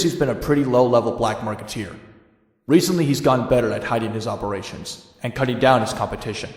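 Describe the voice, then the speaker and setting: An actor playing a cocky, sardonic young vigilante antihero, moving between wry noir narration and wisecracking dialogue. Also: male voice, medium pitch, lightly acted. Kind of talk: noir first-person narration and dramatic dialogue. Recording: echoey, long reverberant tail.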